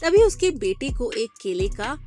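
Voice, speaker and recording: A woman's voice: fairly high pitch, big pitch swings, unhurried, dark tone. Woman narrating a children's cartoon. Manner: clear, storytelling. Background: background music.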